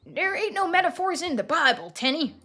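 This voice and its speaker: A woman's voice: very high voice, big pitch swings, fairly quick, bright, forceful tone, soft background music, voice pushed up, heavily acted. A woman voicing a dim, literal-minded male alpaca sidekick in a video game, oafish and stubborn. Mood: stubborn, confused.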